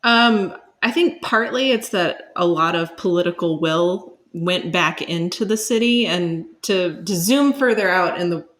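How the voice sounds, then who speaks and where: A woman's voice: lowish voice, unhurried, few pauses, a bit bright. A woman, speaking as an expert guest and explaining ideas conversationally while searching for words.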